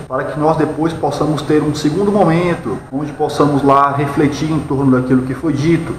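A man in his thirties with a Brazilian accent, giving explanatory opinion commentary in a deliberate, didactic way, prone to rhetorical questions. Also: on the high side. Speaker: video commentator. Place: small bare-walled room indoors. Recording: echoey room with background noise.

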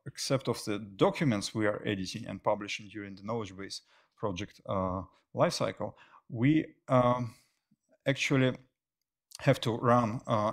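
A middle-aged man presenting technical material as a conference speaker, often pausing mid-phrase. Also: on the low side. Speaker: conference speaker, software engineer. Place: indoor stage at a tech meetup.

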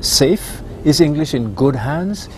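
Older host, mellow and measured, probing questions, faint room tone. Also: male voice, a little high, animated, slight background noise. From Dominica. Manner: measured, probing, with frequent backchannel. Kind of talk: interview questions.

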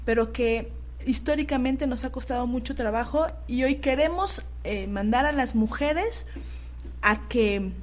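A woman with a Mexican accent giving earnest interview answers in formal wording, pausing to search for words. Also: on the high side, a little hiss or hum. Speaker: political activist guest.

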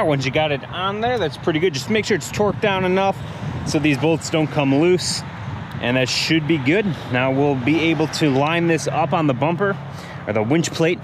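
A man giving a casual hands-on how-to, thinking aloud informally as he works, recorded outdoors on an action camera. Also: on the high side. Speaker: DIY how-to video host. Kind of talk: casual hands-on tutorial narration. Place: outdoors beside a vehicle in daylight. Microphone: action camera held or mounted near him. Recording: clear outdoor background noise on action camera.

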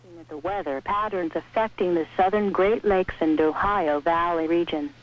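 A synthetic American female voice reading a scripted weather bulletin in a measured, impersonal way. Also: lowish voice, noisy background. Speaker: weather radio announcer. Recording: dull lo-fi weather radio broadcast.

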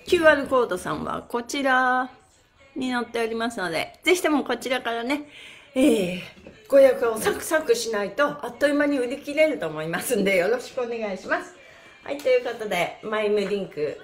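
Middle-aged woman, high voice, animated cutesy polite patter, exercise livestream host, echoey room, faint music. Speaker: pantomime performer and stretch class host. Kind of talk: live-streamed exercise class and announcements. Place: small indoor room with bare walls. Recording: roomy echo from camera mic.

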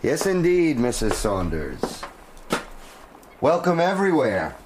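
An actor with an American accent playing a brash, boisterous American schemer in a radio drama, with a booming, persuasive delivery. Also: male voice, wildly swooping pitch, slow pace, noisy background. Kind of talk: 1990s radio drama acting.

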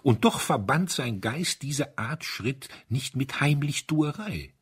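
A man narrating a mystery story from a script in an expressive, dramatic storytelling manner. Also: mid-range voice, takes it slowly, husky. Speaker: audiobook narrator.

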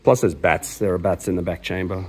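A man's voice: deep voice, steady tone, brisk, a little hiss or hum. A man hosting an exploration video, giving casual, informal walkthrough commentary in an unscripted way. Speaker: host of an exploration video, tour leader.

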